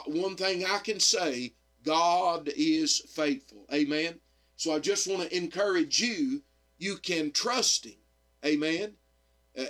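A middle-aged man, slightly hoarse, preaching earnestly on radio with frequent amens and pauses. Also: fairly high pitch, a bit bright. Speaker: radio evangelist and pastor. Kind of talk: radio sermon.

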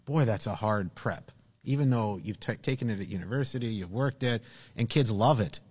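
A man's voice: fairly low pitch, normal speed, a little mellow, husky. A man hosting a casual conversation, chatty and joking. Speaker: podcast host and teacher.

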